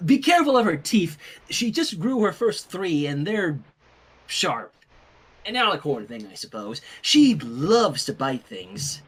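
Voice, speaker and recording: A man puts on a young female unicorn princess from a cartoon fan story. She is chatty and frazzled, pitched high with very animated swings and a slightly husky edge. She sounds apologetic yet nonchalant. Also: measured pace, exaggerated voice. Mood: anxious.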